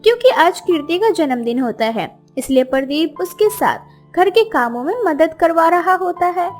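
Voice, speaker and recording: A woman narrating a cartoon story in an expressive, scripted storytelling voice. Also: very high-pitched, moderate pace, faint music behind.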